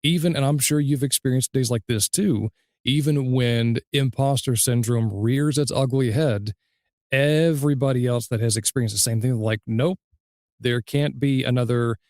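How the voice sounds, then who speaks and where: A man hosting a casual podcast interview in an affirming, measured manner. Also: medium pitch, a little mellow, no echo, studio quality. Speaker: podcast host, audiobook narrator.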